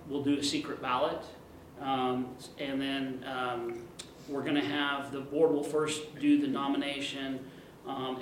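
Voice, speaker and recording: A man's voice: mid-range voice, slight background noise. Man explaining procedure in a roomy hall. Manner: explanatory, many fillers. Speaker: staff member explaining procedure. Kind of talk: explaining rules at a meeting. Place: meeting room.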